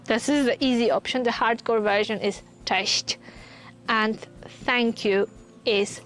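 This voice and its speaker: A woman's voice: fairly high pitch, fairly even intonation, a little slow, a bit bright, soft background music. A woman from Poland with a Polish accent, chatting casually on a live stream from her touring caravan and answering viewer comments. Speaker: channel host.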